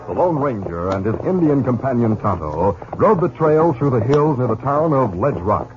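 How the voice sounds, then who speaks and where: Animated male radio western narrator. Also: medium pitch, normal speed. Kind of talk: scripted 1950s radio drama narration. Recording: very noisy, muffled 1950s radio recording.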